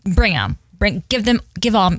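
A woman's voice: medium pitch, sing-song, normal speed, a bit bright, dry recording. A woman co-hosting a podcast in casual chat, enthusiastic and chatty.

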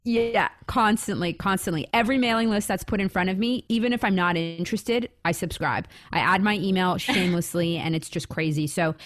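A woman's voice: medium pitch, fairly quick, few pauses, no echo. A young woman with a Floridian American accent co-hosting a podcast, upbeat and chatty. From England. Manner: upbeat, chatty, laughs easily. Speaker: podcast co-host. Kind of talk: casual chat.

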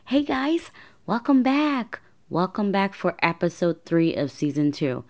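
A young woman with a raspy voice hosting her own podcast, telling a personal story in a chatty way with many fillers and repeats. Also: fairly low pitch, a little slow, a little mellow. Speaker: podcast host.

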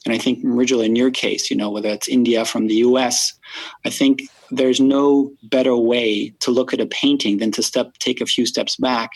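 A man from France, a podcast guest, speaking thoughtfully in a casual interview, with many pauses and fillers. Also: mid-range voice, fairly even intonation, husky, no room sound.

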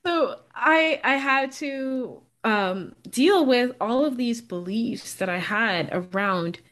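A woman's voice: a little high, expressive, takes it slowly, a bit bright. A woman from the Bahamas, a business coach speaking as a podcast guest in an earnest, reflective way and laughing easily.

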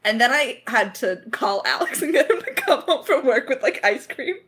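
A woman's voice: sits high, sing-song, moderate pace, noisy background. A woman chatting casually in a creator Q&A, effusive and playfully dramatic, rambling along in slangy speech. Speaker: lead writer and voice actor of an audio drama.

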